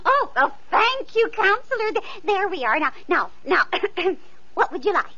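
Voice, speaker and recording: An actress playing a vain, spiteful sister in an old radio play. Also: female voice, very high voice, sing-song, normal speed, sharp tone, heavily acted. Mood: flustered. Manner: spiteful, flustered. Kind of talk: 1940s radio acting. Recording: lo-fi old radio recording with hiss.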